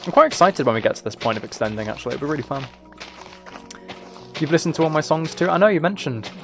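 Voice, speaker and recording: A man's voice: on the high side, lively intonation, fast talker, fairly bright tone, soft background music. A man chatting casually on a game livestream, rambling and thinking aloud as he plays. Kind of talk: casual chat while playing a game.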